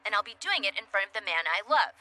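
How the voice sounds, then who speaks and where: A woman voicing a blunt, sharp-tongued teenage schoolgirl with a brusque, candid way of talking, in an anime dub. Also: medium pitch, very animated, fast talker. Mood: brash. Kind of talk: anime English dub acting.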